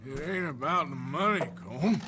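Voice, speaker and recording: An actor playing a weary, tough American outlaw gunslinger in a video game, terse and defiant. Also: male voice, on the high side, expressive, moderate pace, noisy background, close to an everyday voice. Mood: guarded defiance. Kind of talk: video game cutscene acting.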